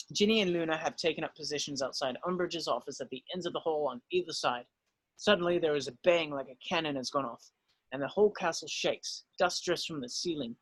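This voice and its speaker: A man's voice: on the high side, fairly bright tone, dry recording. A man narrating stage directions in an amateur screenplay table read.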